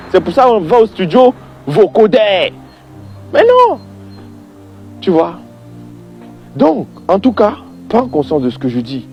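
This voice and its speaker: Animated high-pitched man giving his opinion. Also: muffled recording. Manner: emphatic, repeats phrases, conversational. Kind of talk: informal opinion monologue to viewers.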